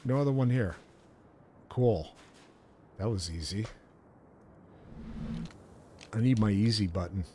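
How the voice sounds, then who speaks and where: A man's voice: fairly low pitch, takes it slowly, long pauses, darkish tone. A man doing casual livestream commentary as he plays, rambling and musing aloud in an informal way. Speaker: game streamer, host. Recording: livestream mic with game noise behind.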